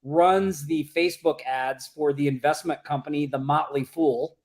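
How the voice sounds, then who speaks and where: Chatty man from Oklahoma, slightly high. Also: fairly even intonation, normal speed. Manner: chatty, loose, lots of fillers and restarts. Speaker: co-host. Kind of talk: casual livestream chat. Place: home or office in Las Vegas.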